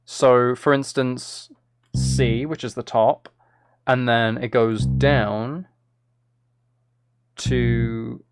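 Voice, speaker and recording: Casual male streamer with creaky voice. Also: mid-range voice, fairly even intonation, very slow, pauses often. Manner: self-deprecating, hums and sings out rhythms. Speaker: live streamer making music. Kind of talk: casual livestream chat, thinking aloud while composing.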